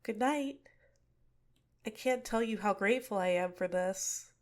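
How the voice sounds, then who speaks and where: A young woman playing a scheming, manipulative villainess in an ASMR roleplay, speaking softly and close to the mic. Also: mid-range voice, a little slow, lightly acted. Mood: shy, apologetic.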